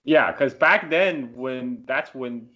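Unhurried male podcast host, slightly dull audio. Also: mid-range voice, sharp tone. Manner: brief interjections and follow-up questions. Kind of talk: casual interviewing.

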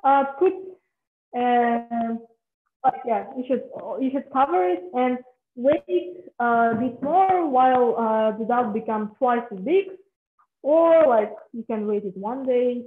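A woman's voice: on the high side, lively intonation, slow pace. A woman explains a recipe over a video call from her home kitchen, hesitant and searching for words. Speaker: cooking instructor. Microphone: far laptop webcam on a video call. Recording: tinny, echoey video call audio.

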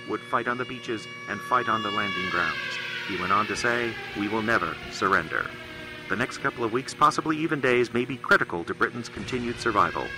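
An actor playing a grave wartime radio correspondent, announcing solemnly in a dramatic 1940s news style. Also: male voice, medium pitch, natural voice, poor audio. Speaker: war correspondent.

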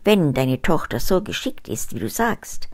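A woman's voice: deep voice, very animated, a little slow, darkish tone, full character voice. An older woman reading a fairy tale aloud, voicing a stern, greedy king who gives orders.

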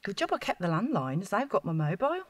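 A woman's voice: mid-range voice, big pitch swings, quick pace, no room sound, natural voice. An actress playing an anxious, vulnerable woman in a naturalistic audio drama, hesitant and emotional, with sighs. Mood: anxious, grateful. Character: Rachel, anxious, vulnerable young woman, apologetic and earnest.

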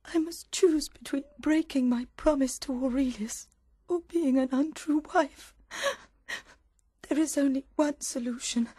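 A man putting on a breathy, high-voiced distressed lady. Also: dark tone, dry recording, heavily acted. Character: distressed noble young wife, tragic and resolute.

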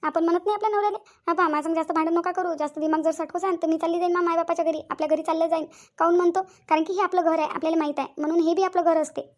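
A woman's voice: very high voice, fairly even intonation, rapid-fire. A woman playing a tender, earnest young married village woman in a family drama, with a Varhadi Marathi accent and an emotive, pleading way of repeating phrases. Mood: wistful. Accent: Varhadi Marathi from Vidarbha. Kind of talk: amateur family drama acting.

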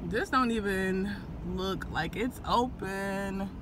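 A young American woman, a beauty vlogger, chatting casually to camera in a playful, slangy, expressive way. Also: mid-range voice, takes it slowly. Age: young adult. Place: inside a car. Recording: car cabin noise.

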